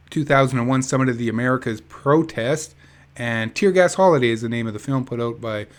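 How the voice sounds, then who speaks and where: A man reading a book aloud in an informal, chatty way with casual asides. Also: medium pitch. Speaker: reader of an activist book.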